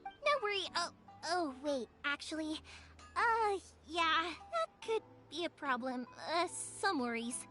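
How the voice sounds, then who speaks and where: A woman voicing a cheerful, chatty, excitable young fireworks maker in an anime-style video game. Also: very high voice, slow pace, exaggerated voice. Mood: eager, pleading. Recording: music behind the voice.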